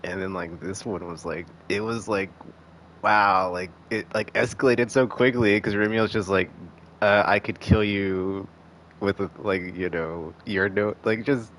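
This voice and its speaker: A man giving casual live commentary as a game streamer, rambling and halting, often restarting with "like". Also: lowish voice, steady tone, fairly bright tone, slight background noise. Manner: rambling, halting, heavy use of filler "like".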